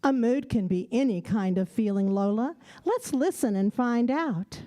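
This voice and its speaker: High, animated woman hosting a children's show. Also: measured pace. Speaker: children's theater presenter. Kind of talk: scripted live presentation to children. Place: live library event before an audience.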